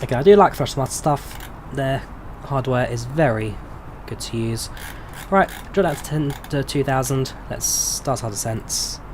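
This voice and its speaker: A man's voice: medium pitch, measured pace, noisy background. A man in his twenties with an English accent, chatting casually with viewers on a live stream and answering their questions. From England. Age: 20s. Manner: relaxed, chatty, answers chat questions with frequent ums. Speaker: flight sim streamer.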